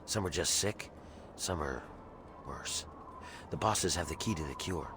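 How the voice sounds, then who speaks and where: Deep-voiced, grim rebel slave. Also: male voice, unhurried, over music. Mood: grim, determined. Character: grim embittered rebel slave man scheming for freedom. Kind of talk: video game character dialogue. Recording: clear ambient noise behind voice.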